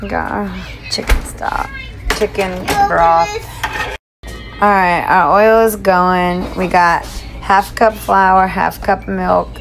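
A woman's voice: on the low side, fairly even intonation, slow pace, over music. A young woman narrating as she cooks in her home kitchen, talking to the camera in a chatty, casual way. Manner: chatty, talks to camera while cooking. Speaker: cooking vlogger. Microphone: phone held or propped near stove. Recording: home phone audio with kitchen noise.

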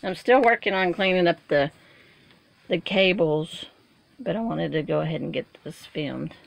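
An older woman giving a plain, unhurried show-and-tell of a project while filming with a handheld phone. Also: on the low side, vocal fry. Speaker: vlogger. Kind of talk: casual walkthrough vlog. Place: inside a small truck camper, then on its roof outdoors.

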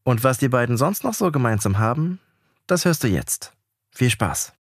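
A man's voice: mid-range voice, very animated, normal speed, slightly rough, no echo, studio quality. A man reading a scripted podcast introduction as narrator. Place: dry, close studio-style recording.

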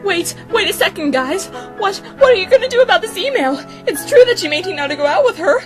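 A woman's voice: very high-pitched, expressive, fast talker, over music. A woman voicing a spiteful, falsely sweet teenage schoolgirl bully in an amateur manga fandub, with mocking, theatrical taunts. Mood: flustered, angry.

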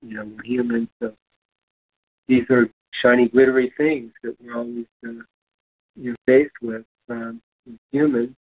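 A man's voice: mid-range voice, little pitch movement, normal speed, long pauses, slight background noise. A man in casual discussion, speaking hesitantly and searching for his words. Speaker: discussion panelist. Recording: thin phone-like line.

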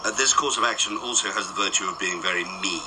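An actor playing an urbane, precise department chief in a radio drama, measured and dry. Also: male voice, deep voice, noisy background, close to an everyday voice.

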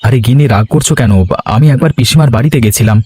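A man's voice: medium pitch, rapid-fire, darkish tone, slightly rough, close to an everyday voice. A man voicing a dutiful, good-natured village nephew and husband in a cartoon. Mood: explaining. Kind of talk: Bengali cartoon voice acting.

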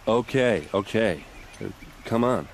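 A man's voice: lowish voice, animated, a little slow, slight background noise. An actor playing a blunt, sardonic, blustering warrior captain in his thirties in a video game cutscene. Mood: grudging. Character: gruff, grieving, blustering male warrior captain.

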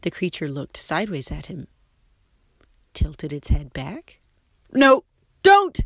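Low-voiced woman reading a story. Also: unhurried, slight background noise. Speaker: storyteller, shop assistant presenter. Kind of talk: scripted story read aloud.

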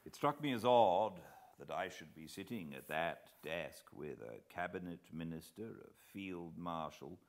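A middle-aged British man giving a measured, expressive dramatic reading of a story as an audiobook narrator. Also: low voice. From Britain. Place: living room armchair beside a fireplace.

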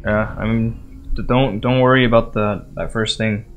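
A man's voice: on the low side, fairly even intonation, moderate pace, narrow, tinny audio. A man talking casually and tactically during an online shooter, instructing his teammates as he streams. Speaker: gamer, streamer and team shot-caller. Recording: clearly noisy game stream audio, clicks.

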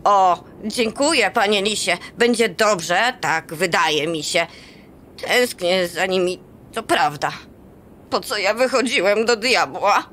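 A woman's voice: mid-range voice, takes it slowly, a bit bright, a little hiss or hum. A woman voicing a small, homesick boy elf in a children's audio play, with an eager, childlike voice.

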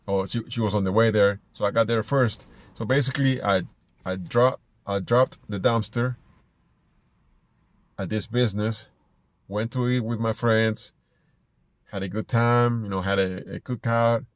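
A middle-aged man from Ecuador with an Ecuadorian Spanish accent, telling stories casually to camera in a halting way, repeating words as he goes. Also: lowish voice, fairly even intonation, dry recording. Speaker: small business owner vlogger. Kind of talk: casual personal storytelling to camera. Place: inside a parked car.

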